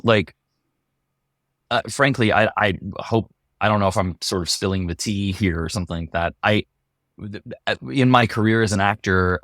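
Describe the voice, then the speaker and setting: A man's voice: lowish voice, a bit bright, dry recording, studio quality. A man, an actor in a casual interview, who repeats words as he searches for his phrasing.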